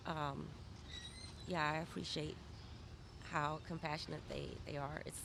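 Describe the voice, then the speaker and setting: A woman's voice: low-pitched, level tone, a little slow. A middle-aged woman who grew up in Saint Louis, giving conversational answers as an interview guest. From born in Malawi, grew up in Saint Louis, USA. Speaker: victim advocate, interview guest. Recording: clear background noise.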